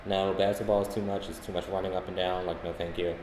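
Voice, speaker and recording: A man's voice: deep voice, flat delivery, quick pace, a little mellow. A man co-hosting a podcast, telling casual anecdotes in a conversational way. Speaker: podcast co-host. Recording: echoey room, faint background noise.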